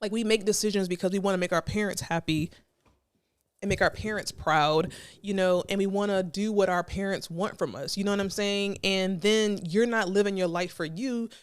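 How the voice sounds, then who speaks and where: A young woman hosting her podcast solo, chatty and emphatic, with lots of "you know" asides and quick acted-out quotes. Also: medium pitch, fairly even intonation, clear, resonant tone, no room sound. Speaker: podcast host. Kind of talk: casual solo podcast talk.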